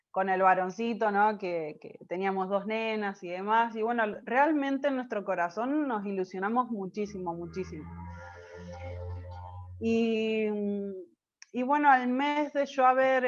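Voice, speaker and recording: A woman from Argentina with an Argentine Spanish accent, telling a personal story as an interview guest, pausing often with fillers and restarts. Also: medium pitch, muffled recording. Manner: hesitant, many fillers and restarts. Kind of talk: personal testimony in conversation.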